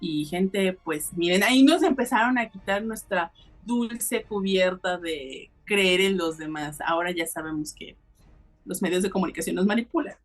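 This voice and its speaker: A woman's voice: fairly low pitch, moderate pace, soft background music. A woman co-hosting a podcast in casual discussion, speaking directly to listeners with advice. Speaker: podcast co-host.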